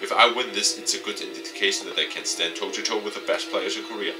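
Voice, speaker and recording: A man reading visual novel game narration aloud in an expressive, dramatic way. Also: lowish voice, quick pace, recorded from a distance, music in the background. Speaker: gaming YouTuber.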